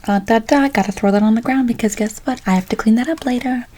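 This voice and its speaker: A soft-spoken woman doing an ASMR roleplay as a folksy, chatty late-night barber, often slipping into a whisper. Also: fairly high pitch, fast. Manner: folksy, chatty, calls listener pet names. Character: chatty, tired late-night female barber, sweet and sassy.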